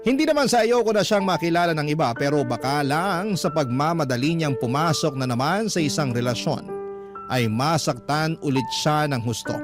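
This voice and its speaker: Male radio storyteller, slightly high voice, dramatic first-person letter reading over prominent background music.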